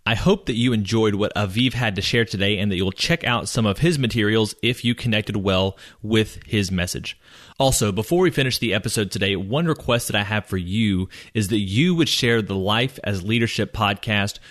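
Fairly low-voiced male podcast host. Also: moderate pace, fairly bright tone. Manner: upbeat, clear, scripted summary. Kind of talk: podcast interview and recap.

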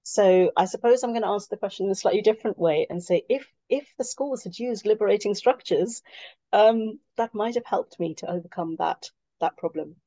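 Gravelly, mellow-voiced woman guest. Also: mid-range voice, brisk, no echo. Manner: reflective, hesitant with fillers. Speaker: guest consultant. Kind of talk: conversational interview.

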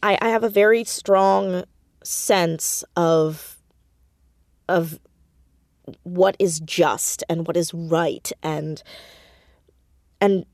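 Casting: American woman, lowish voice, earnest interview guest, emphatic and thoughtful, dry close sound. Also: fairly even intonation, drawn-out words, pauses often, darkish tone, dry recording. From United States, New Jersey suburb. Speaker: interview guest, audio drama creator. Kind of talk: reflective conversational interview.

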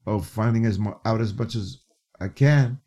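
A man from New City, a guest and educator, telling stories in a casual interview, animated and often restarting his phrases. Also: on the low side, moderate pace. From New York City. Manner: animated, restarts phrases, frequent "you know".

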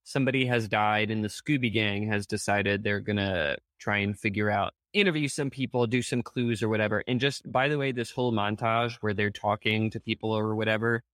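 A man's voice: lowish voice, brisk, few pauses, a bit bright, no echo, clean studio sound. A young man co-hosting a casual TV recap, talking playfully and often stopping to correct himself. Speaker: podcast co-host. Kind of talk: casual chat recapping a TV show.

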